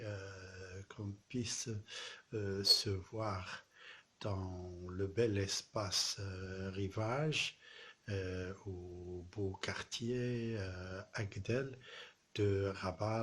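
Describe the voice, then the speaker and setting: Slow, husky-voiced French-speaking man. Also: deep voice, a bit bright. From southern France. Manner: slow and halting, many pauses and repeated words; repeats poetic refrains. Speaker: artist presenting his exhibition. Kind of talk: casual spoken address to camera.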